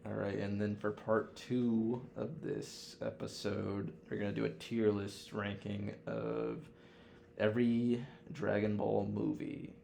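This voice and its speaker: Low creaky-voiced man, casual and slangy. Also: flat delivery, slow pace, darkish tone, a little hiss or hum. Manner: slangy, profane, offhand. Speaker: podcast co-host. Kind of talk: casual chat, ranking anime villains and films.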